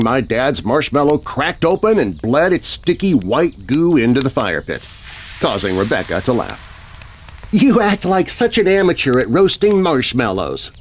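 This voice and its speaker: Expressive man narrating a spooky story. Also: medium pitch, slight background noise. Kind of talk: scripted children's horror story narration. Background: music.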